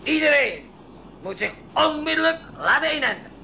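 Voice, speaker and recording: Pirate captain, high-pitched, forceful and sharp, gravelly, blustering, bossy, radio play. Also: male voice, animated, normal speed, heavily acted. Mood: shouting orders. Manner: barking orders, blustering, commanding. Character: bossy, bellowing male pirate captain, gullible and brash. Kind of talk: 1980s school radio drama acting. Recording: noisy 1980s radio drama recording.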